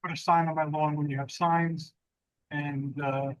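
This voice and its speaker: A man's voice: a little high, little pitch movement, no room sound, poor audio. Dry-sounding reflective male host. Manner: reflective, measured, with uh fillers. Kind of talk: interview hosting.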